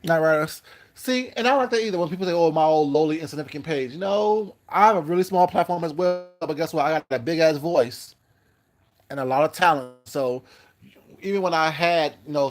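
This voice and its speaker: A man with a fairly high voice chats loosely on his livestream and greets viewers by name as he goes. He repeats words while he thinks aloud. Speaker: YouTube livestream host. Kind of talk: casual livestream chat reading comments.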